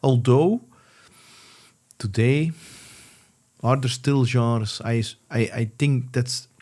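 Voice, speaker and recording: Guy hosting an interview, mellow voice, keeps restarting his sentences. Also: medium pitch. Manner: halting, many restarts and fillers. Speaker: podcast host. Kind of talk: casual interview.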